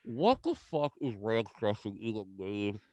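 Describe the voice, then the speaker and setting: A man's voice: lowish voice, very animated, a little slow, a little mellow, no echo. A man hosting a podcast, chatting casually and teasing his guest with jokes. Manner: teasing, joking, laughs often. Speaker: podcast host. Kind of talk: casual chat.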